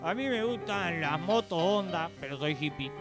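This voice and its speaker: A man's voice: high-pitched, animated, normal speed, music in the background. A man with a Rioplatense Argentine accent trading playful lines in a radio sketch. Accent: Rioplatense Argentine Spanish. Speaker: radio show participant.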